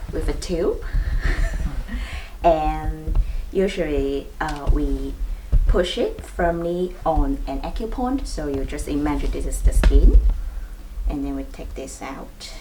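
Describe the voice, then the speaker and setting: A woman's voice: deep voice, dark tone. A woman acupuncturist hosting a clinic video, patiently explaining needles step by step with light laughs. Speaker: acupuncturist and host. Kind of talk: explanatory demonstration. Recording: distant mic, faint room noise.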